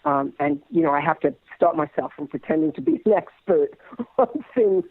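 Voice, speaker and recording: A man giving an interview in a halting, thoughtful, bookish conversational way. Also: high-pitched, very animated, vocal fry, phone-quality sound. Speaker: interview guest.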